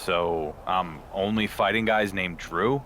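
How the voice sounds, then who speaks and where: A sardonic wrestling champion, noisy background. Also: male voice, low voice, slow pace, a bit bright. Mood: smug, mocking. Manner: dry sarcastic delivery. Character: cocky, sardonic male pro wrestling champion, adult, unflappable. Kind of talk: video game cutscene voice acting.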